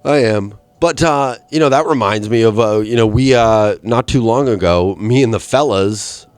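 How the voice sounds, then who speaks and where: A man in his twenties hosting a podcast, ranting in a rambling, profane and deadpan way. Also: fairly low pitch, moderate pace, smooth, dry recording. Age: 20s. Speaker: podcast host. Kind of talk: solo comedic rant.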